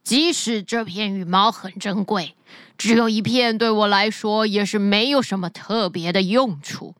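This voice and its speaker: A woman voicing a greedy, proud king in a children's bedtime story, speaking in a lowered, haughty tone. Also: measured pace, a bit bright, clean tone, no echo, clean studio sound, natural voice. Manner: haughty and self-important. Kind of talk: storybook character voice.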